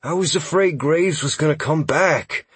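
A man performs a jittery male elf scout for an audiobook. The voice sits clearly higher, with a hesitant, apologetic delivery that is eager to explain lore. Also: lively intonation, normal speed, no echo. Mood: ashamed, afraid. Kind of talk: audiobook character dialogue.

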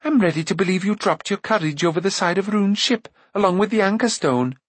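A man's voice: high voice, slightly rough, no echo, pitched above the performer's own voice. A man voicing a headstrong young princess from a storybook, chattering and exclaiming with eager indignation. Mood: indignant. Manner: rushed, breathless, exclaiming chatter.

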